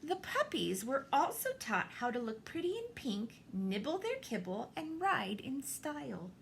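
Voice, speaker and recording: A woman reading a children's picture book aloud, expressively, with theatrical pauses and deliberate emphasis. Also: sits high, big pitch swings, gravelly, a little hiss or hum. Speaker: storybook reader, teacher.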